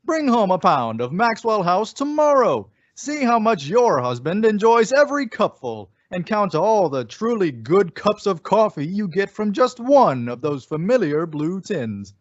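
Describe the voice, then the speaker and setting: A man's voice: sits high, big pitch swings, measured pace, hardly any pauses, no room sound. A man announcing and reading ads in a polished, emphatic old-time radio style. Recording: dull lo-fi radio sound, music behind.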